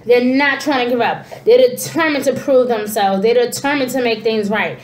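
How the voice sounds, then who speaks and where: Congested woman, high voice, echoey room. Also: moderate pace. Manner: animated hand gestures, frequent "you know" and "okay". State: congested, stuffy nose. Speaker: tarot reader. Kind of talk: casual spiritual reading to camera. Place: small room indoors. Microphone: camera at desk distance.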